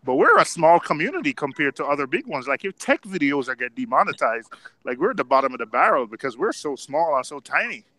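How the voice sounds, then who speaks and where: A man hosts a loose live chat, his slightly high voice wandering through fillers and restarts. A steady clicking noise sits clearly behind him. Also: quick pace. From New York, USA. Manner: rambling, many fillers. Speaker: live stream host. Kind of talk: casual group chat.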